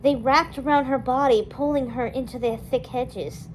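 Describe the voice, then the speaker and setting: Female storyteller for children's fiction read-aloud, fairly high voice, some background noise. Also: fairly even intonation, normal speed, low-quality recording.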